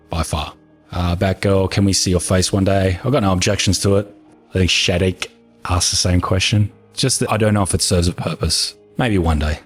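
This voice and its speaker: A man talks in a calm, soothing, unhurried way, answering viewer questions. His voice sits very low and sounds husky, a little hoarse from long talking. Soft music plays faintly underneath. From New Zealand. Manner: relaxed, unhurried, matter-of-fact. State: hoarse from talking for over an hour. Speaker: gaming video creator answering viewer questions. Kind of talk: casual Q&A chat.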